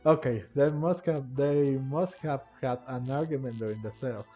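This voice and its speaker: A man commentating casually over a game he is playing. His voice sits at a middle pitch, recorded on a dull, lo-fi microphone, with faint game music behind it. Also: normal speed, dark tone. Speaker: gaming video creator. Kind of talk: casual gameplay commentary, reading dialogue choices aloud.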